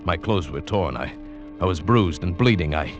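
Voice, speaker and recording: An actor playing an earnest, superstitious South American mountain guide telling his perilous adventure in a measured, dramatic 1940s radio style. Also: sits low, animated. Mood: hushed wonder. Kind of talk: 1940s-50s radio drama acting and narration. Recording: vintage radio recording with music.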